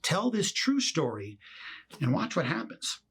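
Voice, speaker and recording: A man with a somewhat high voice delivers an instructional, motivational talk straight to the listener. He speaks emphatically, stressing key words and setting up points with rhetorical questions, like a consultant coaching professionals. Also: huge pitch swings, unhurried, fairly bright tone, breathy edge. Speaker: trial consultant and author presenting a lesson.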